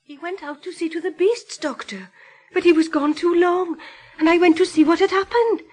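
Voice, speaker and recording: An actress playing a grieving farmer's wife who recounts her husband's death haltingly in a radio drama. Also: extremely high pitch, darkish tone, rough recording. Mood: grieving, bewildered.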